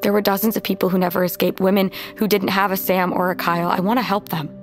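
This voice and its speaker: An actress playing a determined, wounded young survivor in an audio drama, earnest and measured, with a gravelly fry. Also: female voice, medium pitch, flat delivery, natural voice. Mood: grim resolve. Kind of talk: naturalistic audio drama acting. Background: music.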